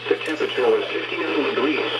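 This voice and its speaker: A man's voice: mid-range voice, quick pace. A man reading a formal scripted forecast as a weather radio announcer, stiff and impersonal. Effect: radio broadcast. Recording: dull, very noisy weather radio playback.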